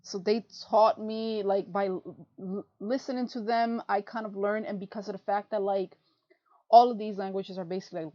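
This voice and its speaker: A woman's voice: medium pitch, a little mellow, no room sound. A woman co-hosting a livestream podcast, chatting casually and rambling, laughing often and saying "freaking" a lot. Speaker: podcast co-host. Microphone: desk mic on a livestream. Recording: muffled lo-fi stream audio.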